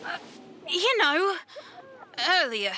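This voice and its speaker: A woman's voice: extremely high pitch, big pitch swings, takes it slowly, pushed and bright, a little hiss or hum, exaggerated voice. A woman voicing a grumbling, petulant young boy in an audio drama about life at sea. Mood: sheepish. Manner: exasperated, petulant.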